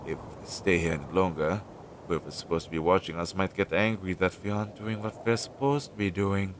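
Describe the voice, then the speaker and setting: A man playing an anxious, devoted crow familiar in an intimate audio roleplay, speaking softly and hesitantly, with pauses. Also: natural voice. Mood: hesitant, gentle. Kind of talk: intimate second-person audio roleplay acting. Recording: low-quality recording with clear noise.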